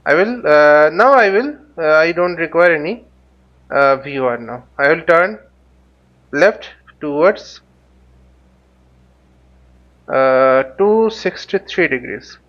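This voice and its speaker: A man giving an unscripted flight simulator tutorial, thinking aloud haltingly and often muttering to himself. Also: a little high, lively intonation, lo-fi sound. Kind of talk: unscripted tutorial commentary while flying a simulator.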